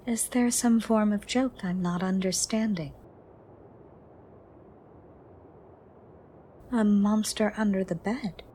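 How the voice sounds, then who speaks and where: A woman playing a gentle, ancient female monster under the bed in a soft, breathy, partly whispered ASMR roleplay, formal and caring. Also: mid-range voice, a little hiss or hum, close to an everyday voice. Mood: calm, reserved. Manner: slow, formal, caring, slightly stern.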